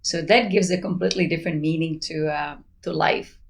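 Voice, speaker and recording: A Bosnian-accented woman in her forties talks earnestly as an interview guest, her voice sitting low with a gravelly fry at the edges. She sounds enthusiastic and chuckles between thoughts. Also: steady tone, a little fast. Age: 40s. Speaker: plant pathology researcher, podcast guest. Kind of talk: casual interview conversation.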